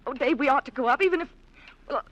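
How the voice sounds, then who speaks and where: A frightened, stammering young niece. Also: female voice, sits high, quick pace, a bit bright, highs cut off. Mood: fearful. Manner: hesitant, stammering, fearful. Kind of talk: 1940s radio acting.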